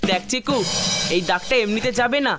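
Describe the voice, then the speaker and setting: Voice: mid-range voice, a little fast, lightly acted. An actor voicing a clever, confident young boy in a cartoon dub, explaining things in a self-assured way. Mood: smug, explaining. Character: clever, confident young boy, gadget-loving know-it-all sibling. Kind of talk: Bengali cartoon dubbing. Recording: loud music behind the voice.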